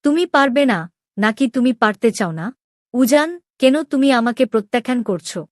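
A woman voicing a proud, lovestruck young princess in an animated story, questioning with emotion. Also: a little high, normal speed, dry recording, studio quality, close to an everyday voice. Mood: hurt, demanding. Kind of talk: dramatic Bengali animated story dialogue.

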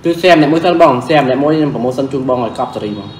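Guy in his 30s talking quick over lunch, echoey dining room, forks clinking. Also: medium pitch, animated, background noise. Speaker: vlogger eating lunch. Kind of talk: casual chat while eating. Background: cutlery and dishes clinking.

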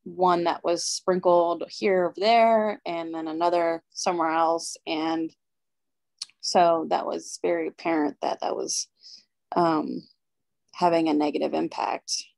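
A young woman talks on a video call in a low, flat voice. She goes slowly, pausing often and filling gaps as she thinks. The pace stays unhurried throughout. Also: vocal fry. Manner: slow, hesitant, lots of fillers. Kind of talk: casual discussion.

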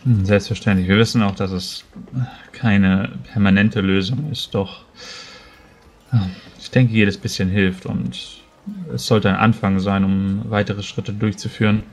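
A man playing a tabletop roleplaying game, improvising dialogue in a measured, formal and somewhat hesitant way. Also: lowish voice, little pitch movement.

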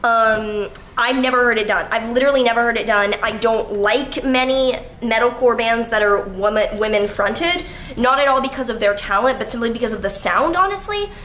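A young woman in her twenties talks in a high, bright voice, rambling casually with frequent fillers and the odd swear word. She holds the camera at arm's length in her apartment. The room sounds roomy, with a faint hum of ambience behind her. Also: moderate pace. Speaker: vlogger. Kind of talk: casual vlog chat. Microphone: handheld camera at arm's length.

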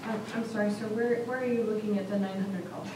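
A woman's voice: mid-range voice, steady tone, quick pace. A woman reporting and answering questions at a council meeting in a polite, informal way. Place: small council chamber, indoors. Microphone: distant camera microphone. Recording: echoey, noisy room from far off.

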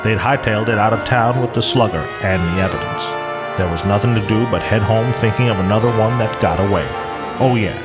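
An actor playing a hard-boiled, wisecracking private eye, narrating a mock radio noir in a deadpan, clipped tough-guy way. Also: on the low side, brisk, lightly acted. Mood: deadpan, world-weary. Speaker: detective narrator. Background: music.

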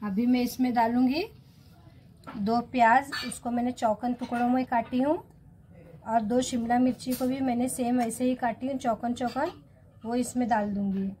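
A woman's voice: a little high, steady tone, normal speed, mellow. A woman with an Indian accent giving a step-by-step recipe voice-over as a home cooking host. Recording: clearly noisy home recording.

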